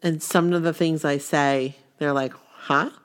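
Nasal, lower-voiced Maine woman. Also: measured pace. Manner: animated, laughing storyteller. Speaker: podcast co-host. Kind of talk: casual chat.

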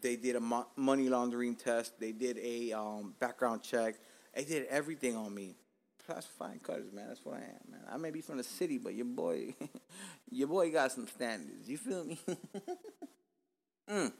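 A man's voice: medium pitch, animated, moderate pace, gravelly, darkish tone. Male podcast host, 30s, born in Cuba; loose, profane, joking delivery. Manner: profane, joking, laid-back street talk. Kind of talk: casual podcast chat.

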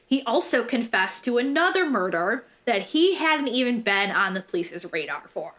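Higher-pitched American woman, irreverent podcast storyteller. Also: very animated, normal speed, fairly bright tone. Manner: irreverent, slangy, swears freely. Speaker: true crime podcast host. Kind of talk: casual true crime storytelling.

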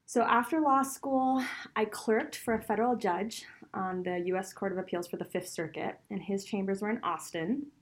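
A woman's voice: mid-range voice, moderate pace. A middle-aged woman who grew up between Venezuela and the US, a law professor answering interview questions in a chatty, reflective way, with frequent laughs.

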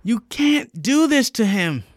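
A man's voice: very high-pitched, big pitch swings, slow, dry recording, voice pushed up, exaggerated voice. A man voicing an exasperated teenage girl who scolds her friends. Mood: scolding.

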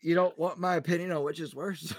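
A wisecracking man co-hosts a podcast in casual banter. His voice is slightly high, his humor dryly sarcastic, and the sound is close and free of echo. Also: fairly even intonation, fairly quick. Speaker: podcast co-host.

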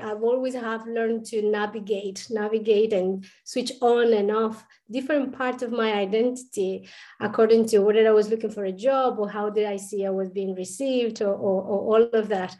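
Soft-toned Colombian-born woman educator. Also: on the high side. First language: Spanish. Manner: thoughtful, many restarts and fillers. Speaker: podcast guest, international educator. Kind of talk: casual interview talk.

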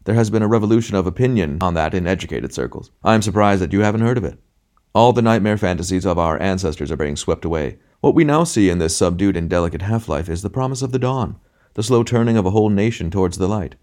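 Storybook smug intellectual played by male narrator: cultured diction, lecturing, pompous, fairly low voice. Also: animated, quick pace, a little mellow. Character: cultured, fat, smugly optimistic gentleman.

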